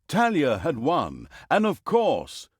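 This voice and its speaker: A theatrical storyteller for a fantasy video game, in a fairly high male voice that swoops dramatically across a very wide range. He is pompous and sardonic, relishing each grand phrase with dry mockery. The recording is close and dry, studio clean. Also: wildly swooping pitch, slow pace, husky, no room sound, heavily acted. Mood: grandiose, dry. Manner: pompous, dryly mocking, dramatic emphasis. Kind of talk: theatrical scripted storybook narration.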